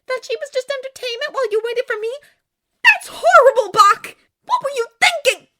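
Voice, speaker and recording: Very high, shrill dramatic young woman. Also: moderate pace. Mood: furious, wounded. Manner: emotional, melodramatic.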